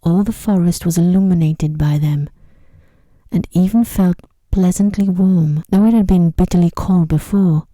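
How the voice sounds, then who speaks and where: A woman reading a sleep story in a soft-spoken ASMR style, with a gentle, hushed, unhurried delivery. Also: lowish voice, no room sound.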